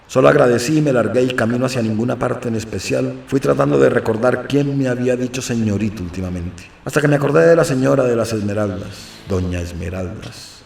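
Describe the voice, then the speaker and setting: A man's voice: mid-range voice, creaky, darkish tone, lightly acted. A man with a Colombian accent narrating an audio novel in the first person as a brooding, anxious character. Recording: roomy, faint noise, distant mic.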